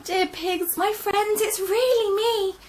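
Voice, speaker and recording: A woman voicing a frantic old grandmother who pleads and wails in a high, tearful voice. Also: lively intonation, measured pace. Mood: pleading.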